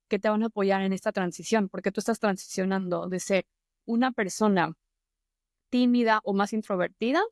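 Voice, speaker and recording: A woman's voice: mid-range voice, no echo, studio quality. A woman with a Mexican Spanish accent giving earnest, encouraging advice to the camera as a public-speaking mentor and YouTube host.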